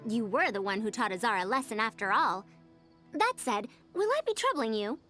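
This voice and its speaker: A woman's voice: fairly high pitch, big pitch swings, moderate pace, bright, forceful tone, faint music behind. A woman voicing a proud, fussy scholar in a video game, with formal, old-fashioned phrasing and the airs of an elder. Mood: pleased, curious. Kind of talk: video game character voice acting.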